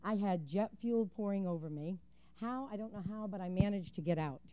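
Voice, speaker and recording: A woman's voice: mid-range voice, a little fast, smooth, highs cut off. Older woman telling an earnest story. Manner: earnest, vivid storyteller with occasional fillers. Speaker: public commenter at a council meeting. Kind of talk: prepared public comment with personal storytelling. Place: city council chamber. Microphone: podium microphone.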